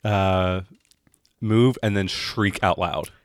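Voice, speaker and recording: A man acting as game master, narrating an improvised tabletop role-playing scene in a halting, descriptive way. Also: deep voice, steady tone, dry recording, clean studio sound.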